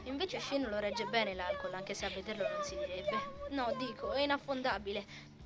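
A woman playing a considerate, laid-back young friend in an amateur anime dub, gentle and understated. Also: on the high side, sing-song, normal speed, loud music behind the voice. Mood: calm, considerate.

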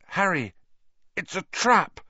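A man's voice: sits high, big pitch swings, slow pace, bright, forceful tone, slightly rough, dry recording, highs cut off, voice pushed up, exaggerated voice. A man voicing an injured young teenage boy, loyal and scared but brave, with a strained, urgent delivery in a storybook. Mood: pained, alarmed.

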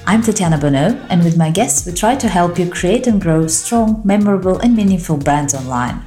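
A woman hosting a podcast who reads a scripted welcome and then chats, quick to laugh along. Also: fairly low pitch, animated, dark tone, faint music behind. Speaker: podcast host. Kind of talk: scripted intro, then casual interview chat.

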